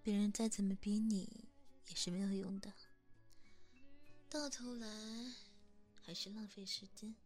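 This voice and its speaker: A woman in a whispered ASMR roleplay, gently coaxing a younger boy as his hairdresser, in a soft big-sister tone, close to the microphone. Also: mid-range voice, fairly even intonation, measured pace, long pauses, faint music behind.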